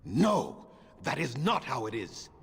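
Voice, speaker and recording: Casting: ancient vengeful elf leader, fantasy game, grave, formal, highly animated pitch, noisy ambience behind. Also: male voice, slow, gravelly, full character voice. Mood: anguished, defensive.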